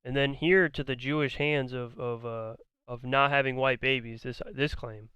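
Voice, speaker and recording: A man giving blunt, argumentative, profane commentary, repeating his points, his voice going hoarse. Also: mid-range voice, fairly even intonation, moderate pace, bright, forceful tone, dry recording. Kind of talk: ranting commentary on a debate.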